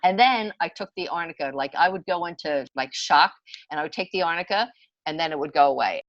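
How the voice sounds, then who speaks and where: Older woman with a lowish voice, chatting. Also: lively intonation, a little fast, no room sound, muffled recording. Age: 60s. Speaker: podcast guest, homeopathy enthusiast. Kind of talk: conversational personal storytelling.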